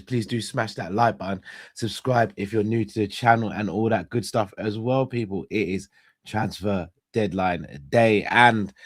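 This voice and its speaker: A young man hosting a casual live-stream chat, hoarse and congested, talking straight to his viewers and often restarting his phrases. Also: on the low side. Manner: chatty, addresses viewers directly, restarts phrases. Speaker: host.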